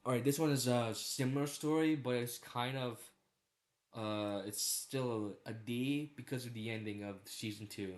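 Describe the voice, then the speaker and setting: A man with an average-pitched voice talks through his opinions as a video creator. His delivery is informal and rambling, peppered with slang and casual swearing. It sounds like off-the-cuff commentary. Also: measured pace. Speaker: video creator ranking horror series.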